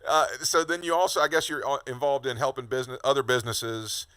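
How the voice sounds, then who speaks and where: A man from Kentucky hosting a casual interview, friendly and a bit halting, with many fillers and restarts. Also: medium pitch, steady tone, pushed and bright, clean tone, dry recording. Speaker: podcast host.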